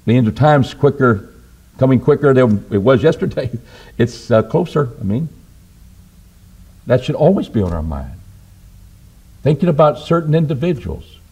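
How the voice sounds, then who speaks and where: An older man preaching a sermon from a church pulpit, asking his listeners rhetorical questions and answering them himself. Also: slightly rough. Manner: lively, rises and drops in pitch for emphasis, asks rhetorical questions. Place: church auditorium at a wooden pulpit. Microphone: pulpit microphone on a stand.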